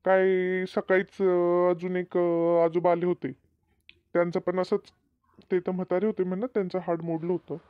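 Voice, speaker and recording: A man plays a matter-of-fact village doctor in a homemade cartoon. His voice is high for a male, reassuring and repetitive, with a dry, muffled sound. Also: flat delivery, moderate pace, clear, resonant tone, dry recording, lightly acted. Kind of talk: amateur cartoon comedy dub.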